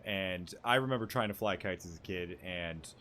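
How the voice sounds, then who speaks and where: A man's voice: sits low, moderate pace, bright, forceful tone, slight background noise. A young man giving casual, joking, offhand commentary as he plays, with game music behind him. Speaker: gaming streamer. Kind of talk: casual reaction commentary over gameplay.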